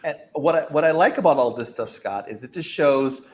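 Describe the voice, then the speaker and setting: A man's voice: fairly high pitch, lively intonation, a little fast. A man hosting a product event chat, enthusiastic and filler-heavy as he leads the talk. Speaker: host.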